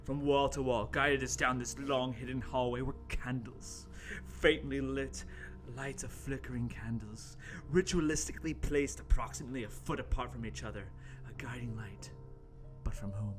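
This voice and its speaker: A man's voice: medium pitch, steady tone, normal speed. A man narrating a horror radio play as its host, in a theatrical, mock-ominous dramatic style. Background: music.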